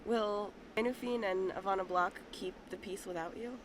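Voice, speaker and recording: A woman's voice: medium pitch, slight background noise, lightly acted. A woman playing a humble, sincere young hunter in an audio drama, hesitant and bashful. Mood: shy, relieved. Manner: hesitant, unhurried.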